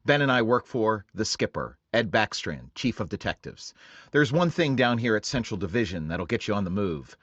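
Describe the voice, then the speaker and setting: An actor playing a terse police sergeant narrating a radio crime drama in a flat, clipped monotone. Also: male voice, on the low side, normal speed, slightly rough, no echo, muffled recording, full character voice. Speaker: detective sergeant. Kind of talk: 1940s radio drama acting.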